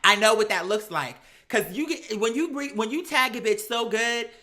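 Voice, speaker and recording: A woman doing casual gossip commentary on reality TV, sassy and animated, with slangy, profane talk and mocking quotes. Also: fairly high pitch, moderate pace. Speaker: host of a live commentary show.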